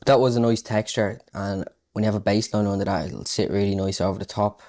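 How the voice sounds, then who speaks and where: Mellow, low-voiced man thinking aloud. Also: steady tone, fairly quick. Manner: informal, thinking aloud while working. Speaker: music producer giving a tutorial. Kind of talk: casual screen-recorded music production tutorial. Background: electronic house music.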